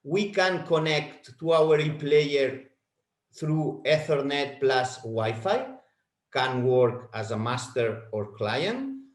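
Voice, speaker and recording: Slow-talking man presenting in an echoey room. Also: a little high. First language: Spanish. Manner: halting, self-correcting non-native English. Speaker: webinar presenter. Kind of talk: product presentation webinar. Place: home.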